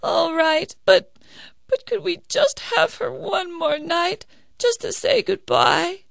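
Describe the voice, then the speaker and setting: A frightened old mother begs tearfully, her voice high and slow with a gravelly crackle, as a storyteller puts her on. It is recorded close and dry. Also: animated, no echo, heavily acted. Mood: pleading. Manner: high, quavering, pleading. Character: grieving old farm woman, timid and pleading.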